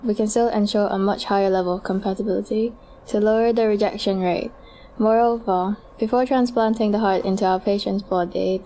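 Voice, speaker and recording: A woman's voice: mid-range voice, mellow, a little hiss or hum, close to an everyday voice. A woman playing a polite clinic doctor who explains treatment options in a scripted amateur audio drama.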